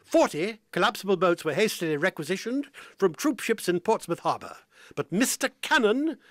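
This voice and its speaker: Period radio drama: lively high-pitched male news reader, dry studio sound. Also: normal speed, no echo. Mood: animated. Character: animated 1912 newspaper man reporting a dispute.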